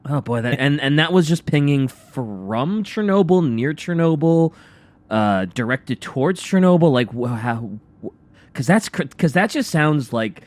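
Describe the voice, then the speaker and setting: A man's voice: fairly high pitch, animated, a little slow. A man co-hosting a radio show in a studio, chatting casually and reactively, often repeating his phrases.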